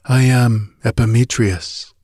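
A man's voice: medium pitch, steady tone, slow pace, a little mellow, dry recording, clean studio sound. A man voicing an ancient ghostly sage in a fantasy storybook, with sepulchral tones and a solemn, commanding, oratorical manner. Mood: commanding, portentous. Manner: grandiose, archaic, oratorical delivery. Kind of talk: dramatic audiobook fantasy reading.

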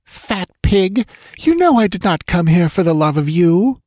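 A proud, defiant young dancer played by a male reader in a fantasy storybook, pitched high. She snaps bitterly and sulkily, with husky breath and lively, swooping intonation. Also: measured pace, no room sound, clean studio sound, exaggerated voice. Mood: angry defiance. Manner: bitter, snapping, sulky.

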